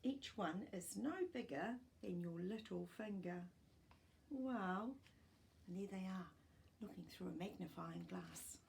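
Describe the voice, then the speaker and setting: A middle-aged woman reading aloud to young children as a storytime host, expressive and explanatory, with small asides. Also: on the low side, measured pace, vocal fry, a little hiss or hum. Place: living room at home.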